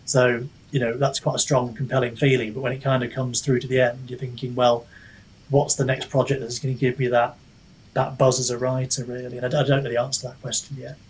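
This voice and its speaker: A man's voice: mid-range voice, flat delivery, a little fast, husky, rough recording. A man chatting reflectively as an author being interviewed, hesitant and self-deprecating, often wandering mid-thought. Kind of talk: casual reflective podcast chat.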